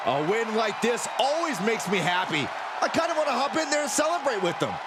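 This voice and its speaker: A man playing an enthusiastic wrestling commentator in a video game, giving excited sports commentary. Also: very high-pitched, brisk. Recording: loud arena crowd roar, heavy noise.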